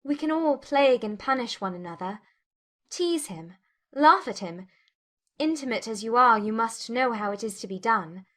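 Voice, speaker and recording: A woman playing a witty, spirited young Regency gentlewoman in a dramatized audiobook, teasing and articulate in formal speech. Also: a little high, lively intonation, normal speed. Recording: music bed under the voice.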